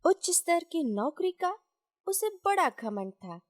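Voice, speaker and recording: A woman storyteller reading a scripted moral tale in a measured, deliberate way. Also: very high voice, very animated.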